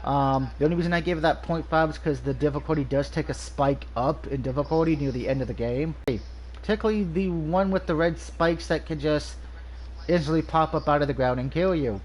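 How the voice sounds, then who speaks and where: A man's voice: on the high side, brisk, darkish tone, a little hiss or hum, low-quality recording. A man with a nasal, stuffy voice from allergies, casually reviewing a video game over gameplay, rambling with frequent ums and restarts.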